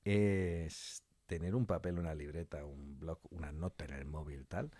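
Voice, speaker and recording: A man's voice: extremely low pitch, steady tone, a little slow, mellow, dry recording. A man from chatting informally as an interview guest in a studio, joking and repeating points for emphasis. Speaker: interview guest, audio fiction scriptwriter. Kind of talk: casual interview conversation.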